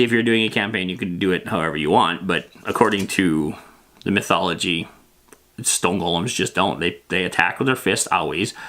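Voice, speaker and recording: Bright-voiced man, casual rambling explainer. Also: on the low side. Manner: rambling, plain-spoken, matter-of-fact. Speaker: host of a tabletop roleplaying lore show. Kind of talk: casual, loosely improvised explainer.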